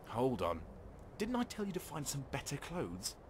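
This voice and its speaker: A man's voice: medium pitch, huge pitch swings, moderate pace, in a large hall, background noise. An actor playing a sly, scheming male hunter who poses as a slaver, talking wryly in a game. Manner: wry, scheming, conversational. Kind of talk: game character dialogue.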